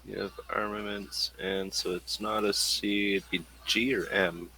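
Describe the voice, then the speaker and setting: Male game master, lowish gravelly voice, slow, casual table talk. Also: fairly even intonation, pushed and bright, poor audio.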